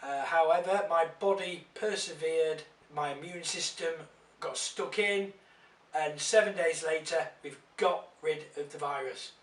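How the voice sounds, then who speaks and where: Croaky man, slow and echoey. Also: fairly high pitch, distant mic. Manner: chatty, grateful, slightly rambling. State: croaky after illness. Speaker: vlogger. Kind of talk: casual vlog to camera. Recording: echoey room, away from the mic.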